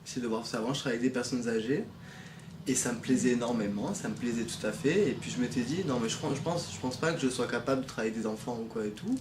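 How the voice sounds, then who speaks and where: A man's voice: medium pitch, fast, echoey room, noisy background. A young man, a former volunteer, telling his experience in a casual testimonial, hesitant with many restarts.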